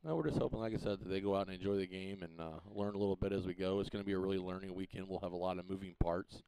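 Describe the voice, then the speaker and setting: A high school baseball coach talking in a deep, quite flat voice, rattling along quickly and matter-of-factly with plenty of "uh" and "you know". A faint hiss of noise sits under his voice. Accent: Missouri. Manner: relaxed, matter-of-fact, lots of "uh" and "you know". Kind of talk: casual pregame interview. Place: press box at a ballfield.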